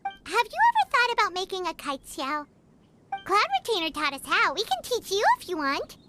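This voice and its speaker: A woman's voice: very animated, normal speed, heavy background noise. A woman voicing a tiny, childlike, chirpy fairy companion in a video game. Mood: chirpy, friendly. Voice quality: childlike, squeaky. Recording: loud music behind.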